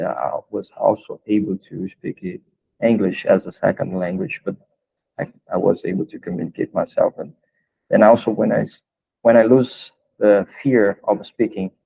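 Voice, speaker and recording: A man's voice: fairly low pitch, creaky, slightly rough, narrow, tinny audio. A man in his early thirties with a Brazilian accent, answering questions as a guest in a casual interview. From São Paulo state, Brazil. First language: Portuguese. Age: early 30s.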